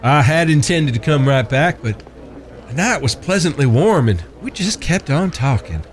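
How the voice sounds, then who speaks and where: An actor playing a proper, easily affronted cowboy brother in a Western audio drama, earnest and slightly formal. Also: male voice, on the high side, big pitch swings, moderate pace.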